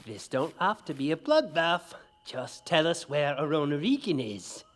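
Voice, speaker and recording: A crude, sarcastic mercenary chief in a fantasy audio drama. His grammar is rough and rustic. His voice moves up and down freely as he taunts and threatens. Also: on the high side, big pitch swings, measured pace. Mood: threatening. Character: crude, mocking male mercenary leader.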